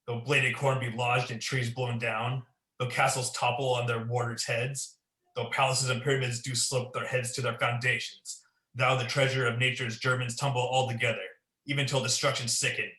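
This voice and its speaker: A man's voice: medium pitch, little pitch movement, normal speed, sharp tone, lightly acted. A young man playing an ambitious, guilt-ridden king in a Shakespearean radio play, declaiming in a commanding, theatrical way. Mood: demanding, defiant. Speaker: student performer. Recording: echoey room.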